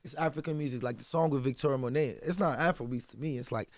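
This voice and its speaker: A man's voice: mid-range voice, fast, darkish tone, dry recording, clean studio sound. A man from Nigeria, a guest musician chatting loosely in an interview, leaning on "you know what I'm saying.". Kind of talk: casual interview chat. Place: radio studio. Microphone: studio microphone.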